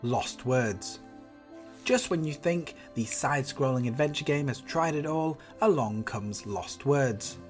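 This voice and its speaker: A man's voice: mid-range voice, a little slow, soft background music. A man with a stuffy nose from a cold, reading an enthusiastic, upbeat scripted game roundup as a channel host. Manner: enthusiastic, upbeat review delivery.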